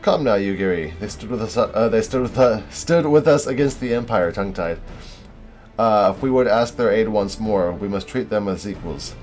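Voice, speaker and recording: A man's voice: fairly low pitch, moderate pace, darkish tone, soft background music, close to an everyday voice. A man reading aloud the lines of an earnest noble young lord from a game, stumbling and restarting over the words.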